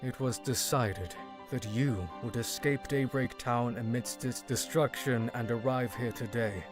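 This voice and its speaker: A man's voice: mid-range voice, unhurried, natural voice. A man playing a polite, formal guide with a British accent in a video game fan dub. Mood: calm, reassuring. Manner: courteous, formal, composed. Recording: game music playing behind the voice.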